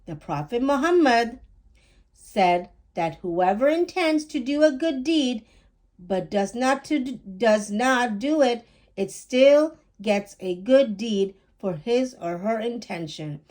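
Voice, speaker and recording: A woman voicing a gentle, reassuring mother in a children's story. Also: a little high, animated, slow pace.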